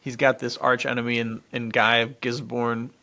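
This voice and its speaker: A man co-hosting a podcast, chatting casually and excitably in slang, often breaking into a laugh. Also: mid-range voice, normal speed, slight background noise. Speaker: podcast co-host. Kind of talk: casual enthusiastic chat about adventure fiction.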